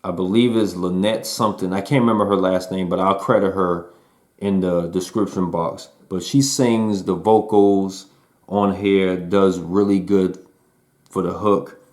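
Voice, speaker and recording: Casual male host for a music review, low-pitched, relaxed slangy delivery with fillers. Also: unhurried. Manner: laid-back slangy talk, lots of "man" and "um". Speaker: album reviewer.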